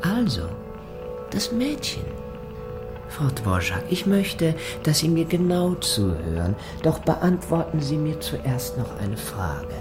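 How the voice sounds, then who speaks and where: A man playing a menacing, falsely courteous Gestapo interrogator in a radio play, speaking with slow, insinuating deliberation. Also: a little high, wildly swooping pitch, full character voice. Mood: quietly menacing. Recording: music under the voice.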